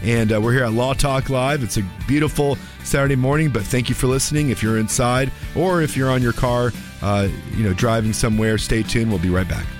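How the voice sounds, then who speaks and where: A man with a slightly raspy voice, a little under the weather, hosts a live radio call-in show as an attorney, chatting in an informal, halting, wry way. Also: medium pitch, music in the background. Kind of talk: casual live radio chat. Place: radio studio.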